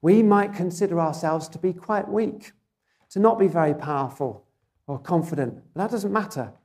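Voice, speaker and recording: A soft-spoken preacher delivers a sermon in a gentle, measured way, often turning to the congregation with rhetorical questions. The voice is quiet and not strong, with a mellow, warm tone. It is carried through a microphone and speaker system in a church. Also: medium pitch. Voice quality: quiet, relatively weak voice.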